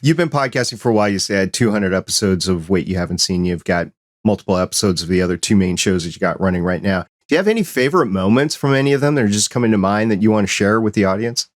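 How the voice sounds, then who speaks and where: A male podcast host with a fairly low voice keeps the interview light, slipping teasing jokes into his questions. He talks in an easy, casual way. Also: expressive, quick pace.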